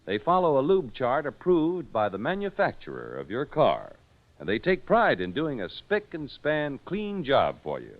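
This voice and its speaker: A man's voice: medium pitch, huge pitch swings, moderate pace. An American man announcing a 1940s radio show in a polished, emphatic, scripted sales style. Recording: dull 1940s radio recording with music.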